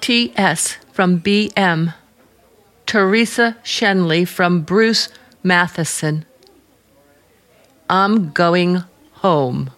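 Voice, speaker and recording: An actress playing an earnest, troubled young niece from a small town in a radio play, wounded and pleading. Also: female voice, lowish voice, extremely slow, pauses often, fairly bright tone. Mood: wounded reproach. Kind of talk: dramatic radio play acting.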